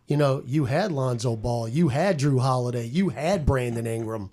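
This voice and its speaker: A man's voice: mid-range voice, normal speed, dark tone. A man talking casually in a sports roundtable as a radio announcer on the panel.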